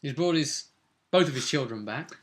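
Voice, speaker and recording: A man's voice: mid-range voice, expressive, normal speed, a bit bright. A man acting as game master, recapping a tabletop fantasy session in a conversational, storytelling way. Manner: storytelling, acting out quoted dialogue.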